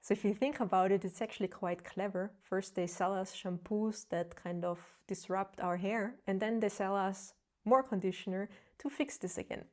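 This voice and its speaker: A woman with a German accent talks through her own skincare experiments as a travel vlogger. Her tone is earnest and explanatory, with a touch of self-deprecating humor. Her voice sits at an ordinary middle pitch for a woman. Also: normal speed, hardly any pauses, darkish tone. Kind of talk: casual explanatory vlog.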